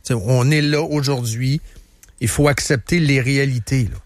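A man's voice: medium pitch, normal speed, dry recording. A man with a Quebec French accent hosting a radio show in a studio, talking in a chatty, emphatic way and repeating himself. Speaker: radio host. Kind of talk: casual radio talk.

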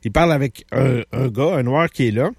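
A man with a Québécois accent, hosting a radio show and telling stories in animated bursts. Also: medium pitch, gravelly, husky, no room sound, studio quality. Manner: animated storyteller, quick bursts. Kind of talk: casual chat.

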